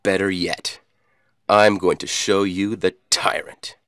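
An actor playing an arrogant, cold villainous commander in a video game, with theatrical, condescending menace and stilted delivery. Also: male voice, low voice, level tone, slow pace, a bit bright, clean tone, no room sound, studio quality. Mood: arrogant, menacing.

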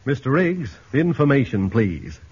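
A man announcing a 1940s radio commercial with formal, emphatic, measured phrasing. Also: medium pitch, very animated, a little mellow, slightly rough. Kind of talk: 1940s radio advertising pitch. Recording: muffled 1940s radio broadcast.

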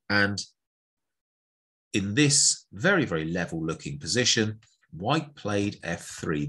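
A middle-aged man with a low, husky voice and a bright, forceful edge. He talks through chess moves one at a time. His manner is chatty and playful. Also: low-quality recording. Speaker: chess teacher. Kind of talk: chess lesson narration over an on-screen board.

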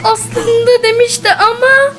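Çizgi filmde saf, mızmız ve kolay korkan bir oğlanı seslendiren bir kadın oyuncu. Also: female voice, extremely high pitch, flat delivery, measured pace, over loud music. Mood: frightened, pleading. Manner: plaintive, childlike. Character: naive, whiny, easily frightened boy. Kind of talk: cartoon dub acting.

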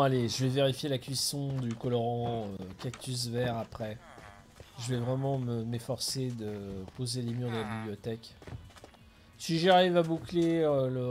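A man's voice: mid-range voice, fairly even intonation, normal speed, darkish tone. A middle-aged man chatting casually as he streams a building game, thinking aloud and chuckling often. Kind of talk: casual gameplay commentary.

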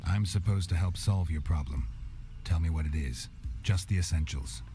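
An actor playing a grizzled, skeptical monster hunter in a video game, gravelly, clipped and deadpan. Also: male voice, very deep voice, normal speed, noisy background. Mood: dry, businesslike. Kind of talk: dramatic video game acting. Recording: music under the dialogue.

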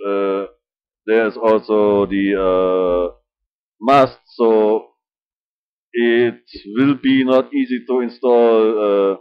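A middle-aged man with a German accent, speaking halting English at home with many filler sounds and restarts. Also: lowish voice, clean tone, no echo. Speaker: model ship builder. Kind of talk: casual hobby vlog. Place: small room indoors. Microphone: webcam at desk. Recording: dull lo-fi webcam audio.

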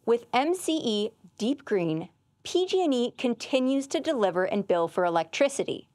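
A young woman, an assistant winemaker, giving clear and measured educational narration. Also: fairly high pitch, very animated, a little mellow, no room sound. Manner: clear, measured, informative delivery.

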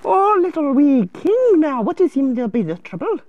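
Woman playing a high, sing-song, fussy advisor. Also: big pitch swings, moderate pace, dry recording, lo-fi sound. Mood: concerned, coaxing. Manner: sing-song, coaxing, gently instructive. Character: fussy, patient, high-voiced advisor to the king. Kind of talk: children's moral lesson in character.

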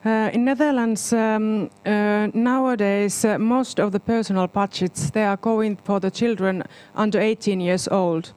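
Smooth-voiced woman panelist, halting English. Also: medium pitch, fairly even intonation, darkish tone. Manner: halting non-native English. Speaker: expert panelist. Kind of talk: spontaneous panel discussion remarks. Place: seminar auditorium on stage. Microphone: handheld microphone.

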